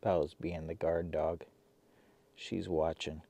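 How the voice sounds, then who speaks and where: A man from Michigan vlogging casually from inside a parked car, talking low-key and half to himself. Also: little pitch movement, slow pace, creaky. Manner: low-key, quiet, half-muttered asides. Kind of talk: casual vlog commentary. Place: inside a parked car, cold and windy outside. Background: faint wind outside.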